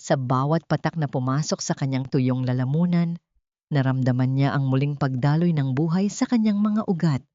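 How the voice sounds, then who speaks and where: A woman's voice: low voice, normal speed, warm tone, dry recording, highs cut off. A woman narrates a scripted dramatic story in a solemn, theatrical manner. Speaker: audiobook narrator.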